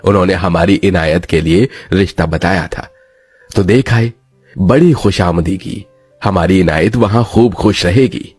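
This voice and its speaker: A man's voice: sits low, unhurried, a little mellow, husky, dry recording, soft background music. A man playing a kind-hearted, wealthy middle-aged father who speaks in a fond, courtly manner in an audio story. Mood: pleased.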